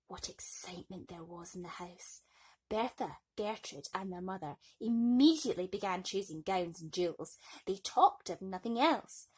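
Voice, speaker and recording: A woman's voice: on the low side, darkish tone, breathy edge. A woman reading children's bedtime stories expressively, with playful, nurturing asides to young listeners. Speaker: bedtime story reader.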